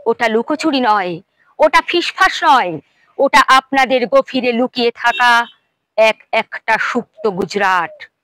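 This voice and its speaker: A woman recites dramatic verse in a high voice. Her delivery is slow and impassioned, with emphatic phrases and deliberate pauses. The recording is dry and close, with very little room sound. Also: lively intonation, pushed and bright, dry recording, low-quality recording. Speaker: poetry reciter.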